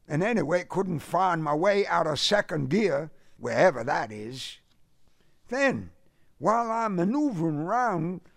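A brash, hot-tempered Southern ex-boxer uncle, as a male narrator acts him out, pitched well up and very animated. He is loud, folksy and boastful, a complainer and tall-tale teller from a period audiobook. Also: creaky, dry recording, studio quality, heavily acted. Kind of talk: audiobook character voice in a period story.

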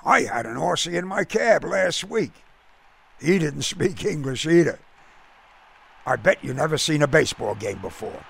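A man's voice: fairly high pitch, lively intonation, gravelly, fairly bright tone, slightly rough, voice pushed up, heavily acted. A man voicing a blunt, suspicious working-class cab driver and baseball fan with a slangy Brooklyn accent, in a told story. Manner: blunt, slangy, quick-fire.

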